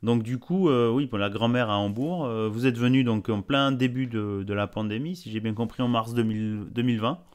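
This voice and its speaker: A man's voice: mid-range voice, fairly quick, few pauses, clear, resonant tone. A man hosting a podcast interview, chatty and full of fillers and friendly digressions. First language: bilingual French-Spanish. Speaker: podcast host. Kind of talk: casual interview chat.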